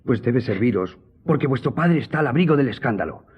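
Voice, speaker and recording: An actor playing a loyal, reassuring gentleman editor in a radio drama, speaking earnestly and formally with a husky, dark-toned voice. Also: medium pitch, lively intonation, a little fast, dull audio. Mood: firm, reassuring. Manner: earnest, consoling, formal address.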